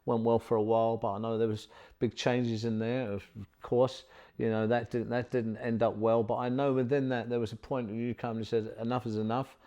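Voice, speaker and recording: A man's voice: mid-range voice, little pitch movement, brisk, mellow, lo-fi sound. A middle-aged man hosting an interview in a studio, talking effusively and rambling with many fillers. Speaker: podcast host.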